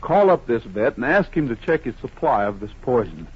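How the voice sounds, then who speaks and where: An actor playing a calm, honest cowboy hero in an old radio Western, polite, plain-spoken and earnest. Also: male voice, mid-range voice, moderate pace, slightly rough. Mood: determined. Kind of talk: 1950s radio Western acting. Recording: muffled old-time radio recording.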